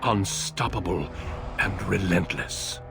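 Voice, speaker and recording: A low-voiced, weary veteran warrior narrates a fantasy game's dark history over music. He speaks slowly and solemnly, with measured foreboding. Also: creaky, exaggerated voice. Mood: grave, foreboding. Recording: music behind the voice.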